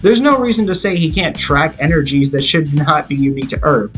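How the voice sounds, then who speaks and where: Higher-voiced man narrating a film review. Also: fairly quick, fairly bright tone. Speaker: video reviewer. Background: background music.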